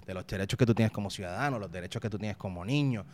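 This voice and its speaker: A man's voice: fairly low pitch, very fast, darkish tone, a little hiss or hum. A man in his thirties from Puerto Rico with a Puerto Rican accent, a guest talking casually and reflectively in an interview, often restarting and repeating words. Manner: uses local slang.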